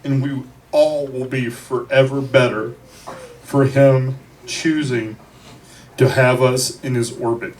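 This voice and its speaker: A man giving a eulogy at a memorial gathering in a deliberate, declarative way, with dramatic pauses between phrases. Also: mid-range voice, fairly even intonation, crowd noise. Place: bar venue, captured from the audience. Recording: distant mic in a noisy bar.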